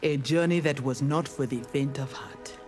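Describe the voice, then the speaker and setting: A woman's voice: rumbling low, normal speed, a little mellow. A woman playing a defiant, honorable female ninja warrior in a video game, speaking firmly and earnestly. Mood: composed. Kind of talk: scripted video game dialogue. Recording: music behind the voice.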